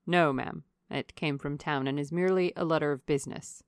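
Woman voicing a low, grave, courteous gentleman colonel. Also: steady tone, fairly quick, smooth, dry recording, clean studio sound. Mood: guarded, polite. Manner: formal, restrained, polite.

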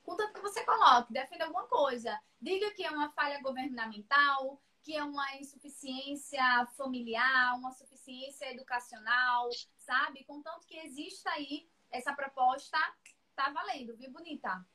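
A woman's voice: high-pitched, normal speed, sharp tone. A woman teaching essay writing on a live stream, chatty and reassuring, calling viewers by name. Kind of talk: live-streamed class, casual chat.

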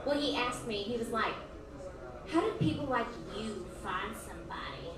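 A woman with a loud, brash voice jokes as a guest comedian. Her voice sits slightly high. Crowd noise fills the space around her. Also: lively intonation, normal speed. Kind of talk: comedic banter. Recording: echoey room, mic far off, crowd behind.

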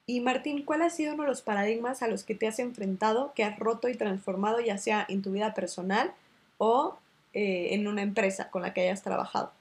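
A woman's voice: mid-range voice, a little fast. A woman hosting a podcast who affirms her guest and shares reflective thoughts before asking a question. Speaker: podcast host. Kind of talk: casual interview.

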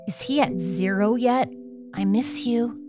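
A woman playing a needy, besotted lover in a theatrical dramatic reading, with a yearning, drawn-out delivery. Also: on the high side. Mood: anxious, confiding. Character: besotted, needy woman sending secret love texts. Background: music.

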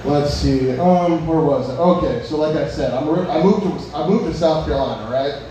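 A man's voice: fairly high pitch, moderate pace, warm tone. Crude young man doing rambling stand-up. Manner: crude, rambling, self-mocking, restarts jokes. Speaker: stand-up comedian. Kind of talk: open-mic stand-up set with crowd work. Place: bar open mic. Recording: echoey bar from far off, noisy.

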